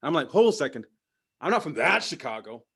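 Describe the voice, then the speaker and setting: A middle-aged man works through a stand-up set. He lands his punchlines deadpan while his voice swings widely up and down. His pitch sits a little high for a man, and he drops into mock voices now and then. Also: fairly quick, slight background noise. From Canada. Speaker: stand-up comedian; parent.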